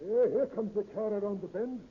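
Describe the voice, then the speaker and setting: An actor playing a trigger-happy, boastful folksy posse deputy in a 1930s radio crime drama, with ungrammatical talk. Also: male voice, sits high, a little fast, close to an everyday voice. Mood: eager, boastful. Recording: tinny, noisy old 1930s radio recording.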